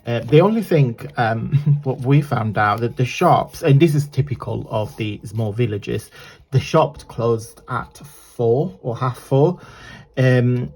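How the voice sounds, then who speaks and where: Chatty man with a husky morning voice. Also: medium pitch, takes it slowly, a little mellow. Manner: rambling and chatty, many fillers and restarts. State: croaky morning voice. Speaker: crafting channel host. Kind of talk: casual chat while crafting. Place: home craft table, indoors.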